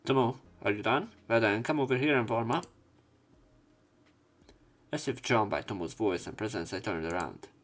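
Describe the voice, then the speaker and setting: Low, gravelly man reading a story. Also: lively intonation, normal speed, pauses often. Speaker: let's player. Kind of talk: dramatic read-aloud narration of a visual novel.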